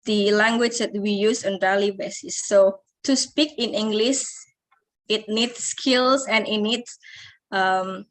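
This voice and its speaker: A woman's voice: mid-range voice, takes it slowly. A young adult woman from Indonesia with an Indonesian accent, teaching an English lesson, hesitant, with many fillers and restarts.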